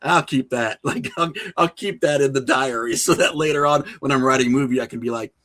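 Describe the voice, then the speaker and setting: A man's voice: mid-range voice, a little fast, a bit bright. A middle-aged man chatting casually as a podcast guest, candid and self-mocking, with loose profanity. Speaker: podcast guest, horror filmmaker and writer. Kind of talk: casual interview chat.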